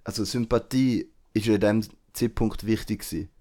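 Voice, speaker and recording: A young man co-hosts a casual podcast. He speaks in a fairly low voice and lays out his view in steady, reasoned sentences. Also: moderate pace. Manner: brief backchannels, then steady reasoning. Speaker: podcast co-host. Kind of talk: casual chat.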